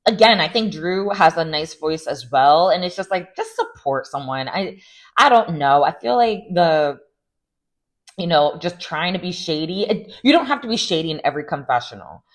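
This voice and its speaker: Woman vlogger, low voice, casual recap style, sassy and animated. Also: moderate pace. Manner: chatty and opinionated, with sarcastic asides. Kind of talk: casual reality TV recap monologue.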